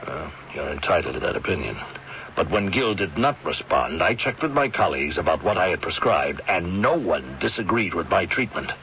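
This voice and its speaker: A man acting in a 1970s radio drama as a suspicious, firm doctor who questions people deliberately. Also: deep voice, sing-song, vocal fry, sharp tone, heavily acted. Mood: defensive, insistent. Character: suspicious male doctor, old family friend, firm and probing. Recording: muffled, hissy 1970s radio broadcast.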